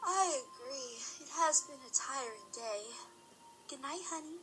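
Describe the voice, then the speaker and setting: A woman's voice: high-pitched, expressive, slow, over music, rough recording. A woman playing an anxious, caring pony wife and mother in an audio drama, with a worried, questioning manner. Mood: weary.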